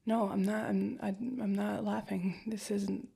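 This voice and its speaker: A woman playing a husky, fiercely protective girlfriend. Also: mid-range voice, flat delivery, a little slow, full character voice. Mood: alarmed. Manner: rambling, stammering repeats, drops to hushed whispers. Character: anxious, protective young girlfriend, fiercely caring. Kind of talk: listener-directed audio roleplay.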